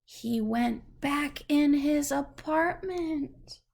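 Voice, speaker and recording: A woman's voice: high voice, very slow, gravelly, a little mellow. A woman reading a story aloud to a young child, patiently explaining words in simple terms. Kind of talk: slow read-aloud storytelling with questions to a child.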